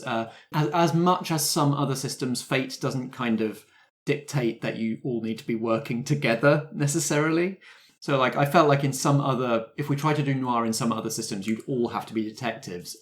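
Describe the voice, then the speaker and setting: A man hosting and game-mastering a tabletop roleplay show, moving between announcements, narration and chat. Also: mid-range voice.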